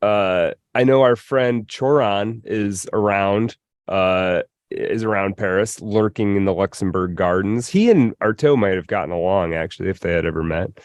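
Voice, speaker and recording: A male podcast host with a fairly deep voice talks casually. The sound is dry and close, with hardly any room in it. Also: normal speed, no room sound.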